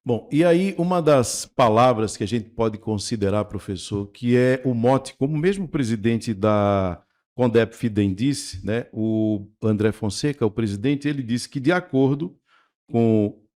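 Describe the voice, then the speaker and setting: A man's voice: medium pitch, measured pace, a little mellow. A man, co-hosting a radio talk show, who recaps recent events and sets up questions for the guest. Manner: framing questions, recapping news. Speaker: radio co-host.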